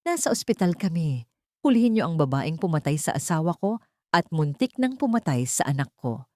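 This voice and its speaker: Woman acting a low-voiced, intense wronged father. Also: sing-song, brisk, darkish tone, no echo, clean studio sound. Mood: dangerous anger. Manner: intense, restrained menace. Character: grieving, vengeful billionaire man, a protective father.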